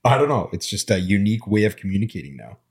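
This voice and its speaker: Middle-aged man whose first languages are Armenian and Arabic, deep husky voice, relaxed reflective talk. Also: fast talker. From immigrant, grew up speaking Armenian and Arabic. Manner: reflective, storytelling, frequent fillers. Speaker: panel guest. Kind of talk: casual conversation.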